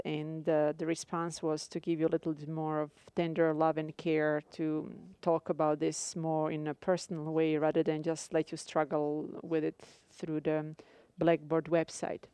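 A woman with a Croatian accent, a course instructor giving a live radio lecture to her students, speaking carefully with frequent filler pauses. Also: deep voice, mellow, smooth, dry recording.

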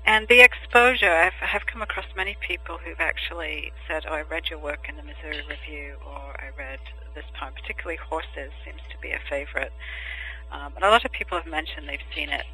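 Male poet guest, slightly high voice, reflective and halting, light background hum. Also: sharp tone, poor audio. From England and Germany. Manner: thoughtful, hesitant, many ums and restarts. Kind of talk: podcast interview answers.

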